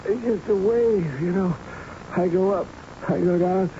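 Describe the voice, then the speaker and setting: A man's voice: sits high, measured pace, dark tone, highs cut off. An actor in his twenties playing a feverish, frightened young worker who is dying, weak and delirious in a radio drama. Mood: dazed, weak. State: weak, delirious, struggling to speak. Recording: noisy band-limited old radio drama recording.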